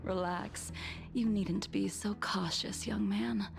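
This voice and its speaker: A woman voicing a serene ancient swordswoman in a video game, calm and breathy, speaking with deliberate care. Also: mid-range voice. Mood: serene, wistful. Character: serene ancient swordswoman, fallen legend turned criminal. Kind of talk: English video game voice acting. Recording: game audio with music behind.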